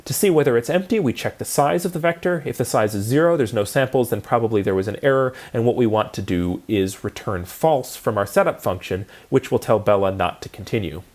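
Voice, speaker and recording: A man's voice: medium pitch, a little mellow. A man lecturing in an instructional style, explaining methodically step by step and posing questions as he goes.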